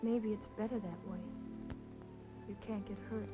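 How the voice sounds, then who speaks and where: A woman's voice: medium pitch, steady tone, unhurried, lightly acted. An actress playing a devoted young working woman in love, earnest and plaintive, in old film noir style. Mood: yearning, earnest. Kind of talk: film noir melodrama acting, mid-century. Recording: muffled old film soundtrack with music.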